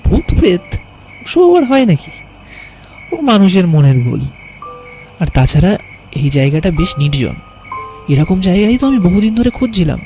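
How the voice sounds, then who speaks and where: A man playing a carefree, stubborn young city poet who tells his own tale in an animated horror story. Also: high voice, normal speed, pauses often, soft background music. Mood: curious, dismissive. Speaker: narrator and lead character. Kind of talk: first-person story narration and cartoon dialogue.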